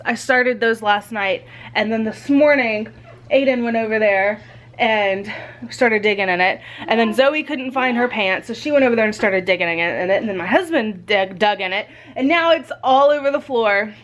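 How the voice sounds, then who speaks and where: A woman's voice: a little high, moderate pace. A woman talking informally and candidly, as a mother vlogging about daily life in a casual, chatty style. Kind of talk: casual vlog chat.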